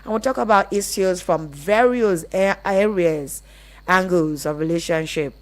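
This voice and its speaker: Female call-in radio host, Nigerian accent, slightly low pitch, live relationship programme. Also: unhurried.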